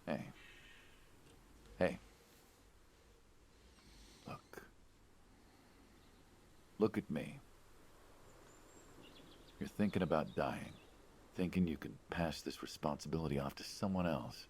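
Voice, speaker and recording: An actor playing an affable middle-aged mobster in a story game, gravelly, conversational and coaxing, with quiet menace. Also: male voice, extremely low pitch, expressive, slow pace, long pauses, slight background noise, full character voice. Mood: firm, coaxing. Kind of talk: naturalistic narrative game voice acting.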